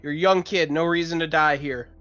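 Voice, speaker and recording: A man's voice: a little high, fairly even intonation, fairly quick, a bit bright, soft background music. A man playing a tabletop roleplaying game, casually voicing what his character says and does. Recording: dull, lo-fi voice audio.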